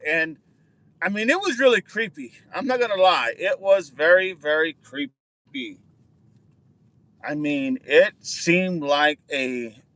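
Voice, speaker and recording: A man's voice: on the high side, a bit bright, no echo, poor audio. A man hosting a casual livestream outdoors, chatting with viewers and answering their comments, often repeating words for emphasis. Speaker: livestream host.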